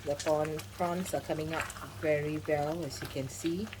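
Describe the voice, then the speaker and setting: A woman's voice: sits low, fairly even intonation, normal speed, noisy background. A middle-aged woman hosting a home cooking demonstration, giving chatty step-by-step instructions with frequent asides. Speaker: cooking channel host. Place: home kitchen.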